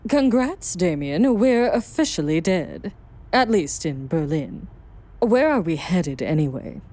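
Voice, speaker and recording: A brooding vampire woman acted by a woman from an audio drama, worldly and guarded. Her voice is mellow and on the low side, and it swings widely in pitch as she lays things out. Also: big pitch swings, measured pace. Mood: wry, practical. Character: self-exiled female vampire sire, worldly, guarded, brooding.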